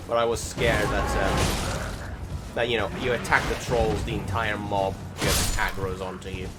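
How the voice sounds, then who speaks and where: A man's voice: lowish voice, little pitch movement, measured pace. A man giving casual gameplay commentary as a voice-over, rambling and correcting himself as he goes, with the odd swear word. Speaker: gaming channel host. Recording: voice over loud game music. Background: loud game soundtrack.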